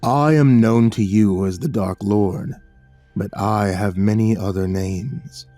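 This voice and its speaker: A man voicing a sulky, scornful goth demon lord giant who talks slowly in a low, rumbling voice. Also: dark tone, dry recording, faint music behind. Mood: menacing. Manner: slow, sneering, petulant.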